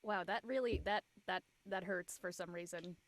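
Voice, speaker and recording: A woman's voice: fairly low pitch, normal speed, no echo. A woman talking casually in a group discussion. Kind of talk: casual chat.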